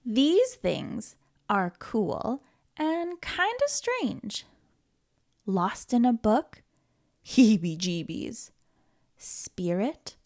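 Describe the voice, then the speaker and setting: Woman reading a picture book aloud to young children; slow, gentle, playful, with a bouncy melody. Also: on the high side, sing-song. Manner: gentle, playful, reassuring, with giggles. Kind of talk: read-aloud storytime.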